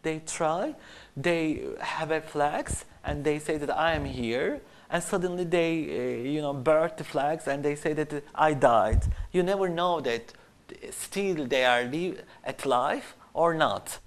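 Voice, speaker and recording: Halting interviewee. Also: mid-range voice. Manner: halting, searching for words in non-native English. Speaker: costume designer being interviewed. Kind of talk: casual interview talk.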